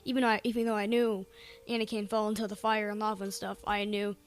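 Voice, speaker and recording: A woman chatting casually as a podcast panelist, halting and repeating phrases, with lots of filler words. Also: mid-range voice, level tone. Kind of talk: casual fan chat.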